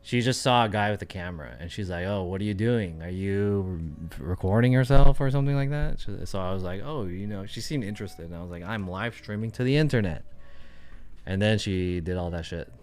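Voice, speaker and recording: A man's voice: low voice, lively intonation, brisk, darkish tone. A man chatting casually on his livestream, rambling and thinking aloud with lots of fillers. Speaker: streamer.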